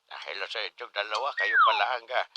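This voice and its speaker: A man with a Filipino accent playing a genial, flattering middle-aged suitor in a radio drama. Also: fairly low pitch, a little fast, dull audio, heavy background noise. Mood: genial, courting. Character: middle-aged male suitor, genial flatterer, mother's old dance partner.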